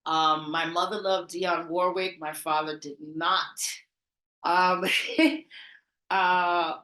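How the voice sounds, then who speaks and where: A woman's voice: on the low side, very slow, roomy sound. Chatty Bronx woman telling party stories. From the Bronx, New York. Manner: animated, chatty, laughs often, trailing restarts. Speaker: party promoter, interview guest. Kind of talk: casual interview storytelling.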